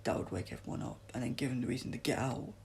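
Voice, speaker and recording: A man reads a helpful young female gymnast in a storybook. She is practical and matter-of-fact, with a gravelly creak and faint noise behind. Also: medium pitch, racing, darkish tone, lightly acted. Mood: suggesting.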